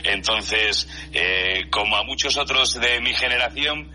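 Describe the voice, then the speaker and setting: A man's voice: medium pitch, flat delivery, unhurried, bright, forceful tone. A man in his forties with a Spanish accent from Spain, talking reflectively and explanatorily as an interview guest. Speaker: interview guest, horror story channel narrator.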